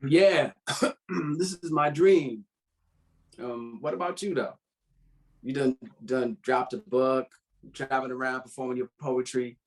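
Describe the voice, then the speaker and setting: A man's voice: medium pitch, steady tone, measured pace, long pauses, close to an everyday voice. A man playing a laid-back, bantering old lover in a drama script read, with an American accent and casual, slangy speech. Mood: proud, friendly. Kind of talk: informal screenplay table read.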